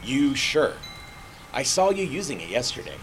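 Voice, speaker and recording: An actor playing a familiar, talkative stranger in an audio drama, casual but insistent. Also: male voice, mid-range voice, sing-song, moderate pace, lightly acted, rough recording. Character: talkative, familiar male stranger claiming to be a neighbor. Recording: faint hiss and rain noise.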